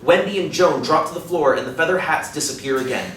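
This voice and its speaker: A man's voice: a little high, fast talker, a bit bright. Man reading stage directions, echoey room. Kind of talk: scripted narration at a play reading. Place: small indoor room, seated. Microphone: distant camera. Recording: echoey room, distant mic, faint noise.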